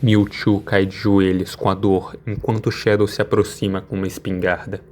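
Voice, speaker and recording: A man's voice: on the low side, flat delivery, moderate pace, a little mellow, a little hiss or hum. A man with a Brazilian accent reading dramatic scripted narration.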